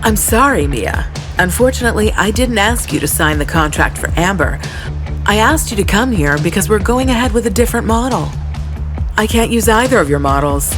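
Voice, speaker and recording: A woman's voice: medium pitch, expressive, fairly quick, lightly acted. Actress doing a blunt lady boss at a magazine, firm and stern, audio drama with music under it. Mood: apologetic, firm. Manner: firm, reproachful, authoritative.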